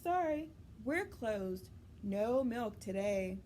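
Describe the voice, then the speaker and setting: A woman reader speaks for farm animals in a children's storybook. They sound polite yet firm, spelling out a formal letter slowly in a high voice, with noise in the background. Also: lively intonation, mellow.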